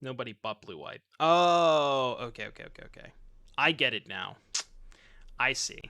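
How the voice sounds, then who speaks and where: A man's voice: mid-range voice, animated, moderate pace, pushed and bright. A man in his thirties streaming a card game from his home setup, chatting and joking with his chat while he plays, using a desk mic. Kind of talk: casual gameplay commentary reading chat. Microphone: desk mic with webcam.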